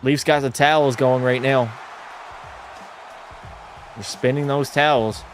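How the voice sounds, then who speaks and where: A male streamer giving casual play-by-play of a hockey game and answering his chat in a relaxed way. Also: medium pitch, slow pace, a little hiss or hum. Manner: relaxed, drops into terse hockey call mode.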